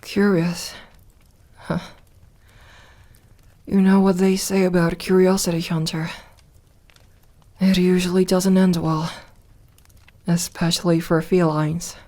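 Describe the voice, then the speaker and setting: A woman voicing a lonely, guarded yet tender immortal vampire girl in an intimate ASMR roleplay, speaking softly and haltingly. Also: fairly low pitch, little pitch movement. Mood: curious, teasing. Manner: soft, hesitant, halting delivery with sighs.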